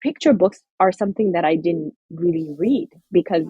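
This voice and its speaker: Brief: husky low woman guest from Somaliland, earnest and thoughtful, dry close sound. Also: animated, normal speed, no room sound, muffled recording. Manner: earnest, reflective, frequent fillers and restarts. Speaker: author and guest. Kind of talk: interview conversation.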